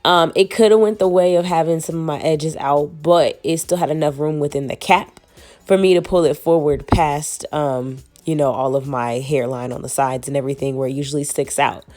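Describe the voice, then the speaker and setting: A young woman, hoarse from a cold, chatting casually to viewers as she walks through a wig install. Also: sits low, steady tone, normal speed, darkish tone. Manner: casual and chatty, slangy, addresses viewers as y'all. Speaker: beauty vlogger. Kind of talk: casual wig tutorial chat.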